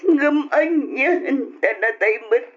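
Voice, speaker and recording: A grieving Ilocano Filipino mother in an old radio drama, devout and tender. She speaks very slowly and pleadingly in a high, tearful voice. The recording is muffled, with music clearly under her. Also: expressive. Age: middle-aged. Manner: slow, tearful, pleading delivery.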